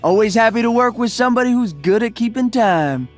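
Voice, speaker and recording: A man's voice: extremely high pitch, lively intonation, fairly quick, faint music behind. An actor voicing an upbeat, cocky young male DJ hero in a video game, breezy, exuberant and slangy. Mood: upbeat, friendly. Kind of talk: video game character voice lines.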